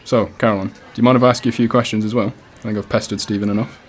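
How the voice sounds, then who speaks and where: A man's voice: fairly low pitch, steady tone, rapid-fire, natural voice. A man with a British accent playing a casual but persistent police detective in a radio play, questioning politely and insistently. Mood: polite, pressing. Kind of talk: student radio drama acting. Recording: cafe crowd chatter behind.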